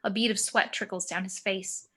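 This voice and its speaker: A woman's voice: mid-range voice, steady tone, normal speed, sharp tone. A woman reading screenplay action descriptions as scripted narration for a table read.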